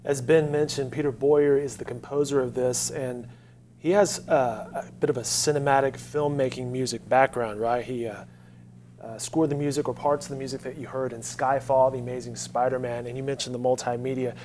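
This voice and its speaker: A man's voice: medium pitch, fairly even intonation, normal speed, darkish tone. A man hosting an interview in a conversational broadcast style, prompting guests with questions. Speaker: interview host. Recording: faint background noise with hum.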